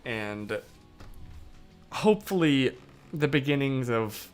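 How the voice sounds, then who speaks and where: A hoarse young man hosting a livestream, chatting casually and rambling off topic with easy laughs. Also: mid-range voice, animated, slow, faint music behind. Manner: rambling, loses his train of thought; laughs easily. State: hoarse, voice worn out. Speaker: livestream host. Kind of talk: casual livestream chat, answering comments.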